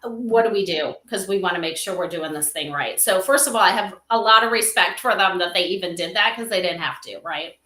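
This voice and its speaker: A middle-aged woman giving conversational interview answers as a podcast guest, animated and emphatic, often checking in with "right?". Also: on the low side, a little fast, few pauses, roomy sound. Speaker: podcast guest, spiritual advisor for an AI company.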